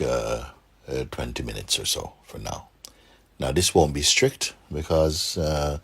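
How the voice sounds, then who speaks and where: A man guiding a meditation for a group, speaking softly and calmly with gentle pauses and a husky, breathy voice. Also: rumbling low. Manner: unhurried, gentle pauses, calm instruction. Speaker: meditation guide. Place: room with a seated group, doors closed.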